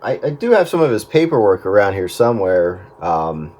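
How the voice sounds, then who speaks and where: A man chats casually over two-way radio as an amateur operator, explaining things in a halting way. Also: lowish voice, sing-song, a little mellow. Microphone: desk radio microphone.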